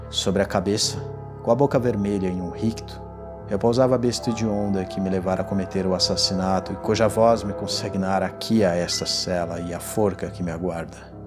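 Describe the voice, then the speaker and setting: A man playing an unhinged, gloating condemned murderer who recounts his crime in a deliberate, theatrical gothic horror reading. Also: sits low, close to an everyday voice. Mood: horrified, doomed. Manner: theatrical, deliberate, swinging from hushed to exultant.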